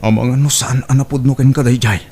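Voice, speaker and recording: An actor playing a scheming young suitor in an Ilocano audio drama, persuasive and conversational, with an Ilocano accent. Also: male voice, mid-range voice, fairly even intonation, fast talker, a little mellow, lightly acted. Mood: coaxing. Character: scheming young suitor, smooth-tongued gold digger.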